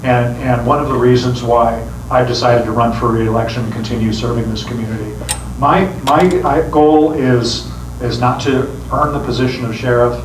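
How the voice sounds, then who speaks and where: A man's voice: medium pitch, fairly even intonation, normal speed. A man who is a sheriff running for re-election, giving an earnest campaign speech to a meeting audience and often repeating words as he starts phrases. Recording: echoey room, distant mic, background noise.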